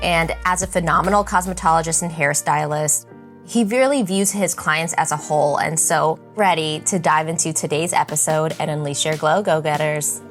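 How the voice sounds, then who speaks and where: A woman's voice: sits low, moderate pace, soft background music. A woman hosting a podcast as a doctor, introducing and interviewing guests in casual, conversational wording. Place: virtual recording for a podcast.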